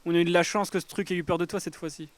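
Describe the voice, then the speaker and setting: A man's voice: sits high, fairly even intonation, very fast, no echo, lightly acted. A man playing an anxious, irritable young adventurer in an audio drama, speaking in a blunt, exasperated and urgent way. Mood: serious, reproachful. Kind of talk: audio fiction acting.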